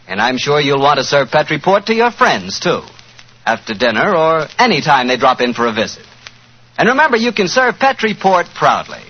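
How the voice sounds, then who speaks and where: A man's voice: a little high, breathy edge. An American man working as a 1940s radio announcer, with a polished, emphatic sales delivery. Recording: muffled, hissy 1940s radio recording.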